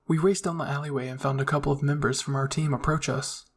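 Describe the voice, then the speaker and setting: A man's voice: on the high side, steady tone, fast talker. A man reading scripted first-person roleplay narration as a storyteller.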